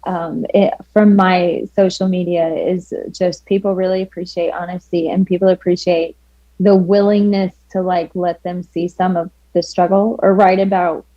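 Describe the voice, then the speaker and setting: A woman joins a podcast remotely and speaks in a loose, halting way, padding her thoughts with filler words. Her line sounds a little dull and muffled, and very dry, with no room around it. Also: lowish voice, no room sound. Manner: relaxed, hesitant, many fillers and likes. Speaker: guest, ultra runner. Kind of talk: casual podcast chat. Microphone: calling in remotely.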